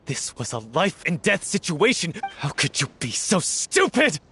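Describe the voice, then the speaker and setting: A man's voice: a little high, sing-song, measured pace, fairly bright tone, a little hiss or hum, exaggerated voice. An actor playing a passionate, hot-tempered male stage performer in a video game, dramatic, intense and accusing. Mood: furious.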